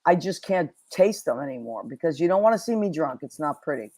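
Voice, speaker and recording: A woman's voice: low voice, animated, fairly quick, dark tone. Bubbly New woman hosting. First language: Italian. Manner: bubbly, effusive. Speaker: host. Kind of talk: casual interview chat.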